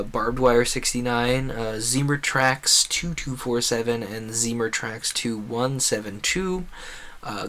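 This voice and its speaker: A man's voice: mid-range voice, fairly bright tone. A man livestreaming a video game, chatting casually and musing at length in a talkative way. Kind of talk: casual rambling livestream chat over gameplay.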